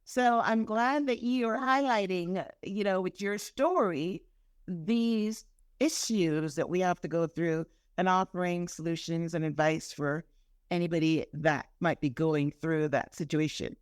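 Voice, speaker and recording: Woman from Guyana, podcast host; animated, chatty, quick to laugh, recorded dry and close. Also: fairly low pitch, clear, resonant tone, no echo. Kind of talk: podcast interview.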